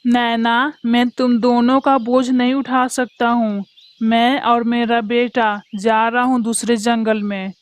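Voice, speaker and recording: Woman acting father bird, cartoon, stern, fairly high voice, dry recording. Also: flat delivery, slow, no room sound. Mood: cold, dismissive. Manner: stern, dismissive. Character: harsh, cold father bird who favours his son.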